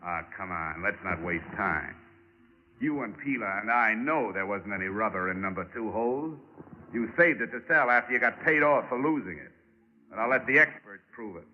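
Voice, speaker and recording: A man's voice: lowish voice, animated, moderate pace, a little hiss or hum, lightly acted. An actor playing a tough, wisecracking insurance investigator who needles his suspects and narrates his own case, in a 1940s radio drama on a dull old broadcast recording. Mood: taunting. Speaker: narrator and lead.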